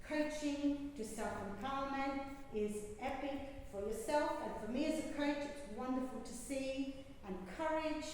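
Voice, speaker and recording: A woman, a public speaking coach, giving a prepared speech to a club audience and telling the story with lively, acted-out instructions. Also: high-pitched, measured pace, slight background noise. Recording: echoey hall, distant mic, faint ambience.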